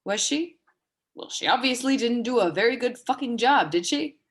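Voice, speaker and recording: A woman in a dramatic reading, playing a sharp, foul-mouthed, vulnerable woman with a halting, blunt delivery. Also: fairly high pitch, animated, breathy edge. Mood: angry, bitter. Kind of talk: dramatic reading of a stage play dialogue.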